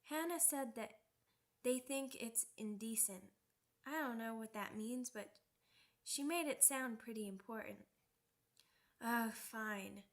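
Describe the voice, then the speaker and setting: A woman voicing an excitable, stubborn young werewolf pup in an ASMR roleplay, with childish, halting, repetitive speech. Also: fairly high pitch. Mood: grudging, pouty. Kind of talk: ASMR character roleplay audio drama.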